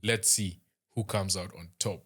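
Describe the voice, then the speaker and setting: A man's voice: sits low, fairly even intonation, a bit bright, breathy edge. Chatty young Kenyan man. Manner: chatty, halting, with many fillers and restarts. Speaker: rugby show host. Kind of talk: casual match review.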